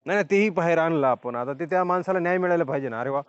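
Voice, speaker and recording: A man's voice: a little high, rapid-fire, smooth, no room sound. A man working as a journalist, interviewing conversationally over the phone with frequent short acknowledgements. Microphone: phone call. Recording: muffled lo-fi phone call recording.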